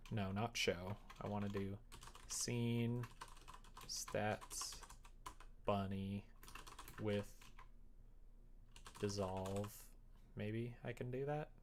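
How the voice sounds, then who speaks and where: Male streamer, wry and casual, talking himself through work at a slow pace with heavy fry. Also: fairly low pitch, a little hiss or hum. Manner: wry, muttering to self while working. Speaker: live streamer developing a visual novel. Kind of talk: casual coding livestream chat, thinking aloud.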